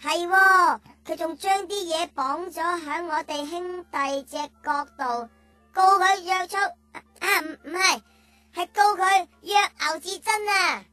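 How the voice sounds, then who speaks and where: High squeaky kiddie calf, cheeky n huffy, radio play for kids, dry sound w/ faint music. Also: female voice, takes it slowly, bright, forceful tone, dry recording, heavily acted. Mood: excited. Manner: childish, indignant. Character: talking young cartoon calf, cheeky, childish, easily offended. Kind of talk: children's radio drama acting.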